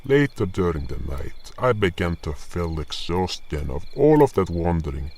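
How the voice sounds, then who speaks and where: A man playing a weary, curious monster hunter, narrating his tale in a measured, deliberate way in an audio drama. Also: low voice, very animated, darkish tone, exaggerated voice. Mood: reflective. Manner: measured, deliberate, pausing mid-sentence. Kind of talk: slow scripted first-person fantasy narration.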